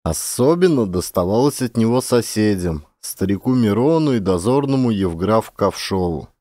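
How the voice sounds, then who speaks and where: A man narrating a literary story as an actor reading an audiobook, in an expressive storytelling manner. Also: lowish voice, moderate pace, dry recording, clean studio sound. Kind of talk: dramatic scripted literary narration.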